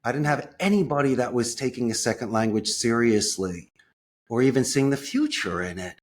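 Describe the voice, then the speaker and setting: American man, interview guest, medium pitch, enthusiastic, expressive, conversational storyteller, colorful turns of phrase. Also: normal speed, slight background noise. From United States.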